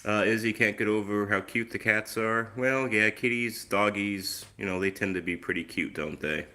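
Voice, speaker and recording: A man's voice: fairly low pitch, moderate pace, sharp tone. A man hosting a casual live stream on his phone, chatty and easygoing, with a lingering cough that leaves his voice a little off. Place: outdoors, then indoors at home.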